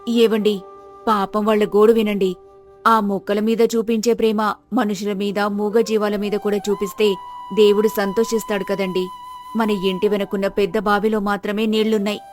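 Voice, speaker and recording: A woman's voice: mid-range voice, flat delivery, moderate pace, a little mellow, soft background music, close to an everyday voice. A woman voicing a compassionate, worried village wife, earnest and pleading, in a moral story. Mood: gentle pleading. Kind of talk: moral-story character dialogue.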